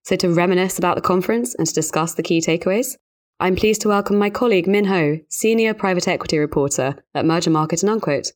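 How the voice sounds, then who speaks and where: A woman with a low-pitched voice speaks as a podcast host. She sounds like a journalist presenting a professional discussion show. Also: fast. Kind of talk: scripted podcast introduction and interview discussion.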